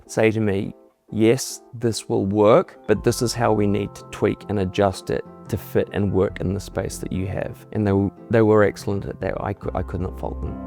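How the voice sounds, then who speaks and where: A middle-aged man, a homeowner speaking candidly in a relaxed testimonial interview, often restarting his words. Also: lowish voice, gravelly. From New Zealand. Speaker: homeowner giving a client testimonial. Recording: soft music underneath.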